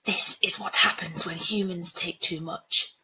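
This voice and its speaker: A woman reader puts on an ancient, solemn sea goddess. The voice is a breathy, hushed whisper, grave and inexorable, in storybook style. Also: fairly low pitch, fairly even intonation, a little slow, poor audio. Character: Dia, mighty ancient female sea spirit, solemn and inexorable. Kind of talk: acted children's storybook reading.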